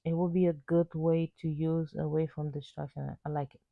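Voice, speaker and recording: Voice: medium pitch, little pitch movement, normal speed, gravelly, dry recording. An amateur reviewer of unclear sex giving a casual unboxing voice-over in short, plain, slightly hesitant sentences. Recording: muffled phone-like narrow sound.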